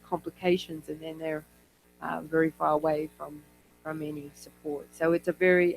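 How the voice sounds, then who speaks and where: A man's voice: on the high side, fairly bright tone, low-quality recording. A man from New Zealand speaking as an academic in an informal conference talk, conversational and given to digressive asides. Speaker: academic speaker on health policy.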